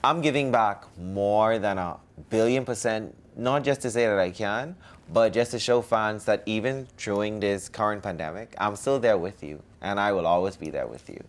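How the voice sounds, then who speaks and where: A man's voice: lowish voice, moderate pace. A young man from the Bahamas, a musician answering interview questions in a cocky, self-promoting way.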